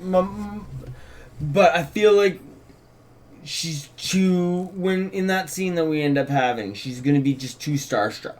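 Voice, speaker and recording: Halting man, voice a bit high. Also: far from the mic. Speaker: co-writer and host. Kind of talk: casual creative discussion.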